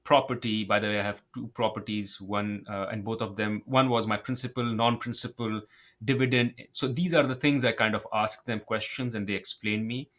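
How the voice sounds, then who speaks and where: A man's voice: on the low side, fairly even intonation, normal speed, a bit bright. A man, a podcast guest explaining things carefully and adding caveats. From Pakistan. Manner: careful and methodical, lists points and adds caveats. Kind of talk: casual podcast talk.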